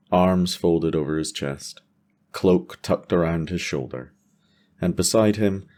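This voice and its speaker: A man's voice: low voice, darkish tone. A man delivering scripted, cinematic narration for a fantasy audio drama.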